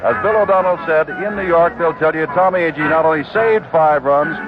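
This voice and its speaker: A man calling a baseball game on the radio, briskly reading out the lineups. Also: on the high side, background noise. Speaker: radio play-by-play announcer. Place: stadium broadcast booth. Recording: tinny 1969 AM radio broadcast.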